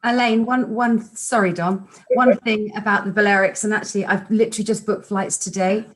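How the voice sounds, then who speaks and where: A woman's voice: mid-range voice, fairly even intonation, brisk, rough recording. A woman on a video call panel, speaking casually and laughing easily.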